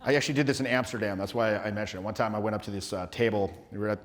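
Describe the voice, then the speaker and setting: A man coaching social skills in a playful, energetic workshop style, loose and full of filler words and quick restarts. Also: fairly low pitch, in a large hall. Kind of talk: energetic live workshop teaching.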